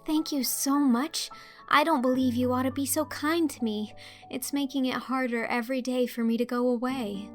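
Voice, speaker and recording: A woman voicing an affectionate, spirited teenage girl with heartfelt, expressive delivery in an audiobook drama. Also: high-pitched, normal speed, soft background music, voice pushed up, lightly acted. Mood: grateful.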